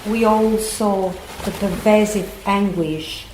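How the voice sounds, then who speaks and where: An actress playing a somber witness of doomsday, narrating in a grave, reflective way with roomy echo. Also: female voice, mid-range voice, slow, darkish tone, natural voice. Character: somber woman witness looking back on doomsday. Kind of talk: dramatic narration. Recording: roomy echo, faint noise.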